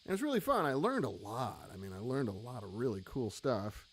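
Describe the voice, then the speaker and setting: A man in his late fifties hosts a casual live web stream, talking through his story and answering viewer comments. His voice sits at a middle pitch for a man. He talks in a rambling, candid way, full of ums and uhs. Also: very animated, fairly quick, breathy edge. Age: 50s. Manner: rambling and candid, with many fillers. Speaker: amp maker hosting a live web show.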